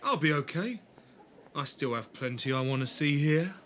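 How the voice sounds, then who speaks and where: Audio drama sidekick: male actor as kindly young man, chatty, awkward, lively intonation and bright tone. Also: fairly high pitch, normal speed, a little hiss or hum. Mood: encouraging. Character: eager, apologetic, kindly young companion. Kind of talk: fan audio drama acting.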